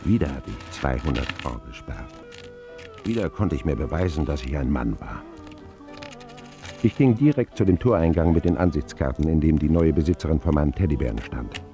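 An actor playing a grown man who narrates his boyhood memories in a radio drama, wry, reflective and expressive. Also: a bass voice, moderate pace, music in the background. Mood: nostalgic, wry.

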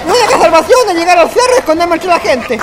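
Very high-voiced frightened Chilean lad acted out. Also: lively intonation, fast talker, background noise. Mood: panicked. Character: frightened, pleading young man on the run. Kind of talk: radio drama acting.

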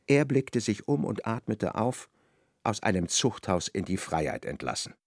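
An actor narrating a literary radio play in a precise, measured way. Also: male voice, fairly low pitch, big pitch swings, darkish tone, dry recording, clean studio sound. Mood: measured narration.

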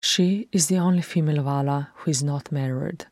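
Low-voiced woman reading a story. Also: normal speed, darkish tone, no echo, clean studio sound. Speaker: audiobook reader. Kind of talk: fan audiobook narration.

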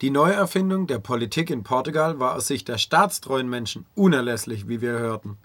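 A man narrating a scripted radio documentary in a measured reading manner. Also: mid-range voice, lively intonation, fairly bright tone.

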